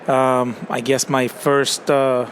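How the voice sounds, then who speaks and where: A man's voice: medium pitch, level tone, takes it slowly. A man in his forties with an Israeli accent, a company CEO telling his story as a guest in an interview, talking casually with lots of fillers. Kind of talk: conversational interview. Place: busy trade show floor, open-roof podcast booth. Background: crowd chatter and noise.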